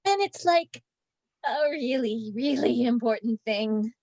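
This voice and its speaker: A woman's voice: on the high side, animated, slow pace, a little mellow, full character voice. A woman playing a naive, anxious amateur panto actress in a read-through of a stage comedy. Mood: dreading, apologetic. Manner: hesitant, naive, dithering. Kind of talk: play reading of a stage comedy.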